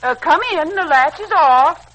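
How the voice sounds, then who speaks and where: A woman's voice: high-pitched, unhurried, a bit bright. A woman playing a folksy, good-natured housekeeper in a 1940s radio serial. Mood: amused. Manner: folksy, homespun phrasing. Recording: noisy old 1940s radio recording.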